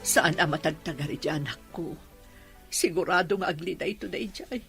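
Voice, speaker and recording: A woman's voice: fairly low pitch, brisk, creaky, a bit bright, faint music behind. A Filipino-accented woman playing a worried, anguished mother in a radio drama, speaking in a plaintive, emotional way.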